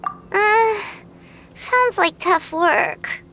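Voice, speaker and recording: A woman voicing a tiny, childlike fairy companion in an anime-style video game, squeaky, cute and casually blunt. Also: very slow, exaggerated voice. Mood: hesitant.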